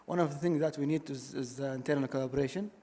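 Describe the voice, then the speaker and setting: A man answering on a conference panel in a measured way, hedging often with fillers. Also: mid-range voice, dark tone. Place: conference hall stage. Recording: reverberant conference hall.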